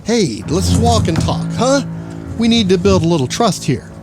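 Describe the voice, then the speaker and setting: High-voiced cocky reporter. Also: male voice, big pitch swings, moderate pace, loud music behind the voice. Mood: wheedling, teasing. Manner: flippant, chuckling, theatrical. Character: wisecracking, cocky pulp newspaper and radio reporter. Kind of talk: noir-style audio drama acting.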